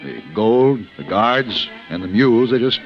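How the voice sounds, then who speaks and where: A man acting a refined, urbane gunfighter in a 1950s radio western, speaking in a dry, measured, articulate way. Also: medium pitch, dull audio, natural voice. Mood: wry, composed. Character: refined, urbane adult male gunfighter of the Old West. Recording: band-limited vintage radio recording with music.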